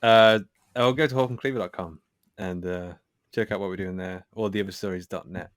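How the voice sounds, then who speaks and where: A man's voice: low-pitched, steady tone, a little fast, no room sound, studio quality. A man speaking as a podcast guest in an informal, self-effacing, chatty way. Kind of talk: casual chat.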